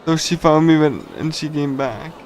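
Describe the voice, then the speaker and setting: A man reading a visual novel aloud on a casual livestream, in a halting, pieced-together way. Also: a little high, crowd noise. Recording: music behind the voice. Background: game music.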